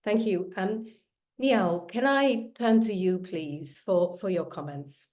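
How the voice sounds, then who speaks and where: Mellow-voiced woman moderating a panel. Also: mid-range voice, takes it slowly, a little hiss or hum. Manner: polite, fillers between phrases.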